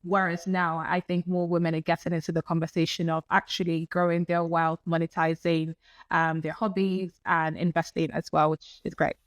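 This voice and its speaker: A woman's voice: on the low side, little pitch movement, normal speed, no room sound. Nigerian-raised woman in her twenties, dry close sound. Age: 20s. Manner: fluent, frequent "like" fillers. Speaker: guest, personal finance content creator. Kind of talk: conversational interview answers.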